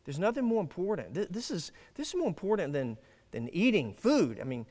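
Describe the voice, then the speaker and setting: A male pastor preaches in an earnest, emphatic way, his voice sitting high and swinging widely up and down. He often stops and restarts a phrase. The sound carries a long reverb, as in a big church sanctuary. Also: big pitch swings, a little fast, a little mellow. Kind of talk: sermon.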